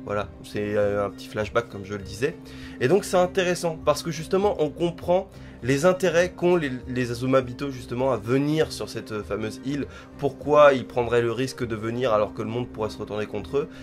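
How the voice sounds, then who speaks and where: A man's voice: mid-range voice, brisk, faint music behind. A man with a stuffy head cold giving a casual spoken manga chapter review, rambling and thinking aloud as he hedges.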